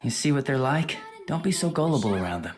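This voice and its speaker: A woman's voice: a bass voice, big pitch swings, quick pace, a crowd in the background. A performer, heard as a woman, playing an aloof, proud teenage boy in an anime audio drama, dry and firm. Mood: confiding.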